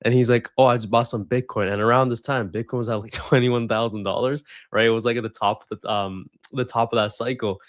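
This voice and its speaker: A man's voice: medium pitch, steady tone, quick pace, few pauses, no echo, clean studio sound. Casual young man from Sri Lanka. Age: 20s. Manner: rambling with many fillers and restarts. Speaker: guest. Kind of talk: casual interview storytelling.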